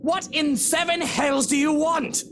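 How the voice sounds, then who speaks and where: An actor playing a seething, hostile rich husband in a visual novel, demanding answers. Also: male voice, extremely high pitch, fairly even intonation, a little slow, a bit bright, slight background noise, heavily acted.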